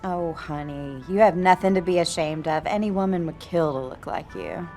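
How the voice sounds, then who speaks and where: Sugary, motherly, lower-pitched wife. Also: animated, moderate pace, a little mellow, faint music behind. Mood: coaxing, reassuring. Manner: sugary, coaxing, full of endearments. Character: sweetly motherly pregnant wife, solicitous toward a teenage girl. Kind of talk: naturalistic audio drama acting.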